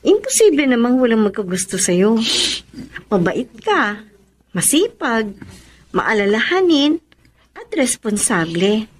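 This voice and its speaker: A fairly high-voiced young Filipino woman in love. She sounds earnest and hesitant. There is noise and ambience behind her voice. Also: sing-song, measured pace, pauses often. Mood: kind, curious. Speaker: radio drama actor. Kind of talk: Tagalog radio drama acting. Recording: noisy track with background ambience.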